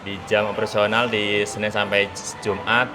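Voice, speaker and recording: A man's voice: lowish voice, flat delivery, moderate pace, music in the background. A man giving brief, polite, deferential interview answers as a restaurant supervisor. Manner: deferential, agreeable, clipped replies. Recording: noisy location with vehicle ambience.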